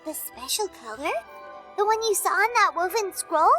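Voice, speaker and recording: Squeaky, excitable childlike companion over loud music. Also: female voice, normal speed, exaggerated voice. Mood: worried, puzzled. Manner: exclaiming, questioning. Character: small, high-voiced childlike companion, excitable and curious. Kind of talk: video game voice acting.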